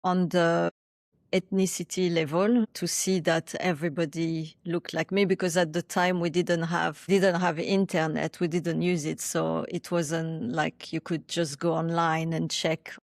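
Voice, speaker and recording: Brief: woman guest, thirties, lowish voice, dry close sound, reflective and hesitant delivery. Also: flat delivery, unhurried, gravelly, no room sound. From France. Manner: thoughtful, with frequent restarts and hesitations. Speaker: podcast guest. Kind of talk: reflective interview answers.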